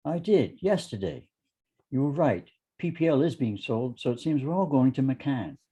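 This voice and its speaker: A man's voice: medium pitch, expressive, normal speed, warm tone, close to an everyday voice. A man in an amateur script reading playing a blunt, weary businessman. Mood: resigned. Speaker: amateur actor at a table read.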